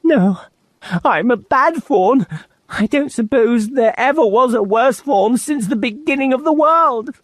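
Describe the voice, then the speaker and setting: A man voicing a gentle, sorrowful male faun who talks gloomily and breaks into sobbing pleas. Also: expressive, unhurried, dry recording, clean studio sound, full character voice. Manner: tearful, sobbing high pleas.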